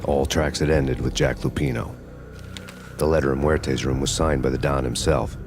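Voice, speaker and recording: A grim, very deep-voiced noir cop. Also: male voice, normal speed, creaky, over music, exaggerated voice. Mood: grim, deadpan. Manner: deadpan, terse. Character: weary, grim hard-boiled male fugitive cop. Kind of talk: noir scripted narration.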